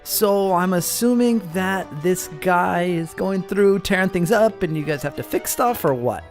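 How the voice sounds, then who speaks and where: A man hosting a gaming video with casual, playful roleplay commentary and animated reactions. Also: high-pitched, unhurried, faint music behind.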